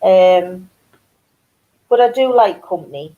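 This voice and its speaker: A woman's voice: fairly low pitch, expressive, slow, mellow, lo-fi sound. A middle-aged woman with a Geordie accent touched by North Yorkshire, chatting and rambling casually to her viewers as a crafts channel host. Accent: Geordie mixed with North Yorkshire. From North East England. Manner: chatty, rambling, self-deprecating. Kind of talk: casual chat to live viewers.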